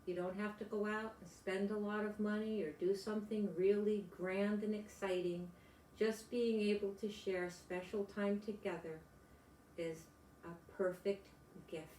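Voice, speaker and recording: An older woman, a grandmother storyteller, reading aloud and chatting to young children in gentle, simple phrases. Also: mid-range voice, steady tone, measured pace. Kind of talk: children's read-aloud and chat to young listeners. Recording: echoey room with background noise.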